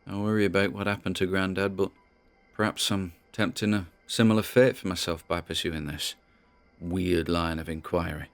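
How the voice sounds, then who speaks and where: An actor playing a spooked man who rambles haltingly and nervously as he records private audio logs in an audio drama. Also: low-pitched, steady tone, soft background music. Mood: uneasy, weary. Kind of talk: dramatic audio-log monologue.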